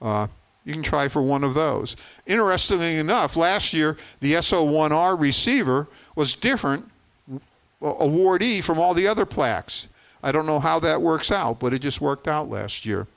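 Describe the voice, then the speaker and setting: A man's voice: a little high, expressive, moderate pace, vocal fry, fairly bright tone. A man in his seventies giving an informal explanatory presentation as an organizer, folksy and plain-spoken, repeating words for emphasis.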